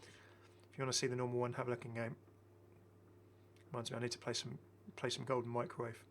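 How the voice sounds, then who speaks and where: A man's voice: medium pitch, monotone, a little fast. A middle-aged man giving enthusiastic, casual, chatty commentary as a gaming video host, often repeating his phrases. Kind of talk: casual game skin showcase commentary.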